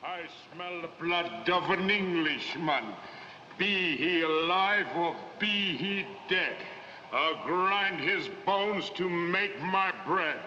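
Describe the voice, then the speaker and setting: A huge man-eating giant for an old fairy-tale film. He is theatrical and slow, pitched high for a man. The dull sound booms with echo. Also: sharp tone, a little hiss or hum, full character voice. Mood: menacing. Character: huge menacing man-eating giant, gruff master of castle.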